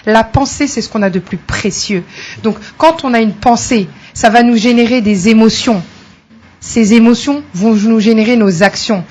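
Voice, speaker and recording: A woman's voice: on the high side, normal speed, a little mellow. Emphatic motivational woman coach. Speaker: guest speaker, coach. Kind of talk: radio interview.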